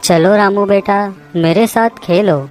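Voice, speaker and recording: A woman's voice: mid-range voice, unhurried, soft background music, lightly acted. Woman as a kindly, motherly talking tree. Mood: affectionate, inviting. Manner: gentle, apologetic, affectionate. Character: kindly, self-sacrificing mango tree, motherly. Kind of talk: children's cartoon story acting.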